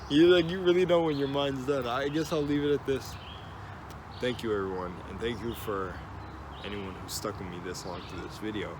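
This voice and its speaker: A man telling a story in a casual, rambling vlog, swearing and restarting his sentences as he talks outdoors. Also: on the low side. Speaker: vlogger. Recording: loud outdoor background noise.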